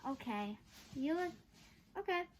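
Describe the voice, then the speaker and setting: A woman voicing a bold, excitable young girl from a storybook in an eager, exclaiming way. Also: sits high, slow pace, a little hiss or hum.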